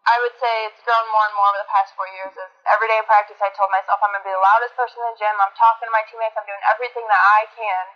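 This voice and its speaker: A young woman in her twenties with a high-pitched voice, a college basketball player answering interview questions earnestly, with many restarts. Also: little pitch movement, rapid-fire, few pauses, lo-fi sound. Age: 20s.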